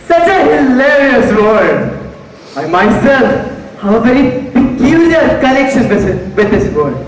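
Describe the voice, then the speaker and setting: A teenage boy playing a murderous, self-righteous judge in a dramatic, deliberate stage monologue with repeated phrases. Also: very high-pitched, big pitch swings, full character voice. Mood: brooding. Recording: echoey hall, far mic, faint music.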